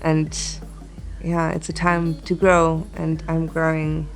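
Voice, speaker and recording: Low-voiced, even-toned woman in reflective interview. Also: vocal fry, faint music behind. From born in Chile, grew up in Italy, England and Germany. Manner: earnest, thoughtful, pausing mid-sentence. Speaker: music artist being interviewed.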